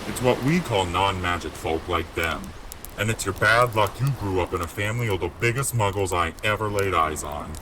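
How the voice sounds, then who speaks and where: Man acting gruff kindly giant, audio drama, low pitch, rustic exclamatory speech, noisy ambience. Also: normal speed, sharp tone. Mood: gruff, matter-of-fact.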